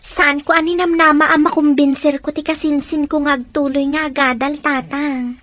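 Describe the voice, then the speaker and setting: A high-voiced earnest young woman. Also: little pitch movement, moderate pace, fairly bright tone. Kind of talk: radio drama acting.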